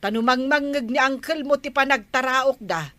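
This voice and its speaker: Woman as a high-pitched, protesting Ilocano village wife. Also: normal speed, pushed and bright, no room sound. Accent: Filipino, Ilocano. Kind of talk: amateur comedy skit acting.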